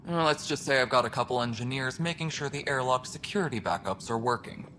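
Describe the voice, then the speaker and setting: Man as a wry, mid-pitched station boss. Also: quick pace, bright, forceful tone, a little hiss or hum, close to an everyday voice, low-quality recording. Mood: wry, grim amusement. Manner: dry, casual, knowing. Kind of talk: video game voice acting.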